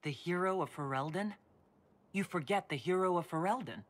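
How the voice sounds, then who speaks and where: An actress plays a tall, horned female hero of a fantasy video game. She is composed and speaks plainly and in a measured way. A gentle wryness runs through her lines. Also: on the low side, close to an everyday voice. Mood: calm, curious.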